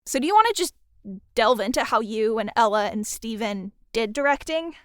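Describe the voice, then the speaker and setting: A woman's voice: fairly high pitch, animated, moderate pace, a bit bright, dry recording, studio quality. A woman interviewing a guest on a podcast in a casual, conversational style.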